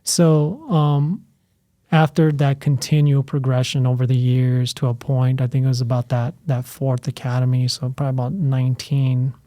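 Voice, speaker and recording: Middle-aged male podcast guest, mellow tone, rambling with fillers, dry close sound. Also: mid-range voice, little pitch movement, moderate pace, no room sound. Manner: rambling, frequent "you know" fillers. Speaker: guest, fire academy training cadre member. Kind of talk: conversational podcast interview.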